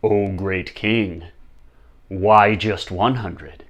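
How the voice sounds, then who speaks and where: Man voicing a slow, tempting magic lamp. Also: low voice, steady tone. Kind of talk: storytelling character voice.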